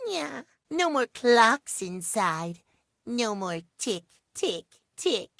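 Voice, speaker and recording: A man's voice: very high voice, sing-song, extremely slow, gravelly, sharp tone, no echo, clean studio sound, exaggerated voice. A man voicing a childish, needy living clock boy in a video game, with cutesy, playful baby talk that refers to himself in the third person. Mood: proud, tender. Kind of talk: animated video game character acting.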